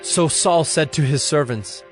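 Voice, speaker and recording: A man narrating a scripted Bible story in a formal, measured storytelling manner. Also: fairly high pitch. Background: music.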